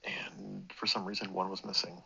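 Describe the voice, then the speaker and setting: A man's voice: low-pitched, monotone, moderate pace, creaky, pushed and bright, slightly rough, lo-fi sound. A man with a sore throat telling a long personal story, meandering and correcting himself, swearing casually. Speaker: vlogger. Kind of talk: rambling personal monologue.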